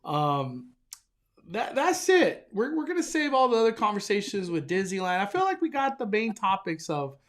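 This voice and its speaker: A young man hosting a casual live stream, excitable and enthusiastic, often stumbling and restarting his sentences. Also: sits high, normal speed. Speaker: livestream host.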